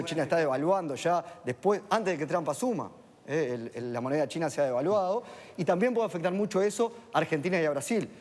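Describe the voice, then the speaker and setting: A man, an economist explaining things in a measured, analytical way on a TV panel. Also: a little high, darkish tone, long reverb tail. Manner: measured, explanatory, with filler tags.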